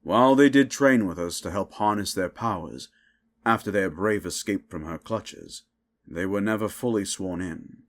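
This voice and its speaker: A man playing a dignified superhero guild leader in an audio drama interview, speaking in a grave, measured, official way. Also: low-pitched. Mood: grave, formal.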